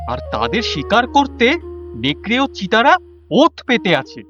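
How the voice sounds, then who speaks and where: A timid young tribesman, lovestruck and deferential. His hesitant speech swings widely in pitch, in audio drama style over a music bed. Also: very animated, moderate pace, highs cut off. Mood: descriptive, eager. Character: timid, lovestruck young man of a prehistoric clan. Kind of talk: Bengali audio drama acting.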